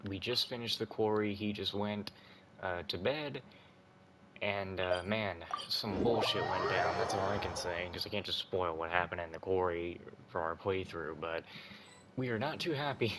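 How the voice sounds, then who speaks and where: Low-voiced man casually commentating over games. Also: normal speed, background noise. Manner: informal, rambling. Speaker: gaming video host. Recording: voice over loud game music.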